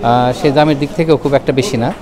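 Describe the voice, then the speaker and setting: A man's voice: medium pitch, steady tone, quick pace. A man with a Bangladeshi accent giving a casual, chatty food review as a vlog host, mixing in English words. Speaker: food and travel vlogger, host. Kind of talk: casual restaurant review to camera. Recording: busy roadside traffic and chatter behind.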